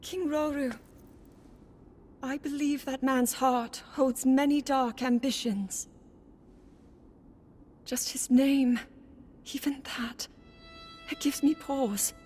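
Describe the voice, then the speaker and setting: An actress playing an earnest, gentle young princess with sincere, polite and careful phrasing in a video game cutscene. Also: female voice, high voice, fairly even intonation, takes it slowly, pauses often, soft background music. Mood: wary.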